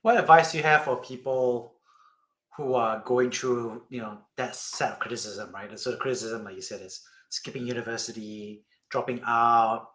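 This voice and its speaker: A man with a mid-pitched voice, recorded with noticeable room echo. He speaks as a podcast host, putting long, informal questions to a guest and often adding "you know". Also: normal speed, a bit bright. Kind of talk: conversational interviewing.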